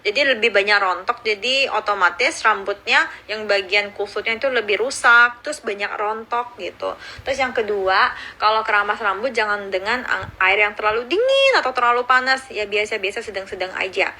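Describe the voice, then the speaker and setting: A woman in her thirties who grew up in Indonesia, chatting casually on a phone livestream and answering viewer comments, cheerful and quick to laugh. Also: medium pitch, lively intonation, bright, forceful tone. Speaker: livestreaming YouTuber. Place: outdoors or at home in Seoul.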